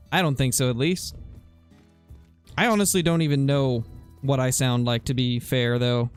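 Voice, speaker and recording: A man's voice: a little high, clean tone. Laid-back rambling young streamer guy. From US West Coast. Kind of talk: casual gameplay commentary, chatting with viewers.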